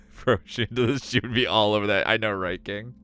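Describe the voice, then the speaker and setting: Deep, smooth-voiced male game streamer. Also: fairly quick, pushed and bright, faint music behind. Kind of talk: live stream chat and visual novel narration.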